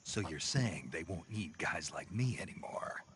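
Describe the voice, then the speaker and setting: An actor playing a gruff, deadpan soldier in a video game, with a rough, gravelly voice and terse delivery. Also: male voice, low voice, measured pace, very noisy. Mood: dry, questioning. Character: gruff deadpan male soldier on a covert mission.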